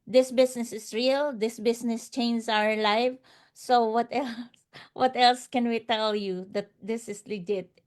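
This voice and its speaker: Higher-voiced woman, earnest and emphatic. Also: steady tone, a little slow. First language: not English. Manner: earnest, emphatic, repeats phrases. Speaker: interview guest, online business promoter. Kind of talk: unscripted motivational testimonial.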